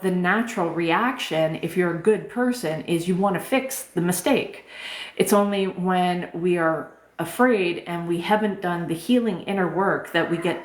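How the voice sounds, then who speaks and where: This is a soft-voiced woman coach who talks gently and casually, with frequent "um"s and the odd swear word. Her voice sits a little low for a woman. She is recorded with a noticeable roomy echo. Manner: gentle, informal, many fillers, occasional swearing. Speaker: life coach. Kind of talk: live-streamed talk answering chat questions.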